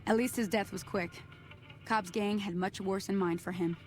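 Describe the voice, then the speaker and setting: A woman's voice: mid-range voice, steady tone, moderate pace, close to an everyday voice. An actress voicing a plainspoken frontier townswoman in a game, blunt and matter-of-fact, with faint music underneath. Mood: somber. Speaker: game character.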